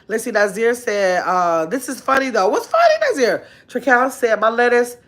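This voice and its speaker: A woman in her fifties hosts a casual livestream from her bedroom, talking into a phone held close at arm's length. She reads viewer comments aloud and answers them, with animated exclamations and long, drawn-out words. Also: on the high side. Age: 50s. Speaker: livestream host. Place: bedroom at home, indoors.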